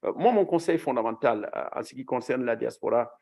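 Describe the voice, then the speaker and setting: A man speaking earnestly on a conference panel as a former official, often pausing and restarting phrases. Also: medium pitch, expressive, vocal fry, dark tone. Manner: earnest, hesitant with frequent restarts.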